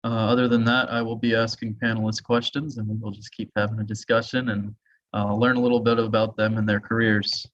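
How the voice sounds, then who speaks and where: A man's voice: fairly low pitch, level tone, brisk, a bit bright. A man hosting an informal webinar over a video call, speaking casually with hesitant phrasing. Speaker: host and moderator. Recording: dull, muffled video-call audio.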